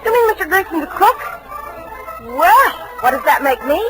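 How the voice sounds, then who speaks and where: An actress playing a flustered, loyal office receptionist in a 1940s radio drama, expressive and breezily exasperated. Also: female voice, very high-pitched, normal speed, over loud music. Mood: earnest, defensive. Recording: noisy vintage radio broadcast.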